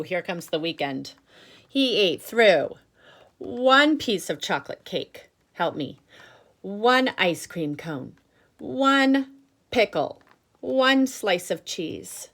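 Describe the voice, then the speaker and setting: A woman teacher reading aloud to children, with expressive emphasis and instructive explanatory asides. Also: medium pitch. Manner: instructive, checks in with listeners.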